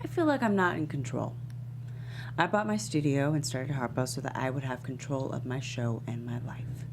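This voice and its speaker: A woman's voice: a bass voice, moderate pace, background noise. A woman at a script table read playing a proud, wounded and principled female talk show host. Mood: frustrated, afraid.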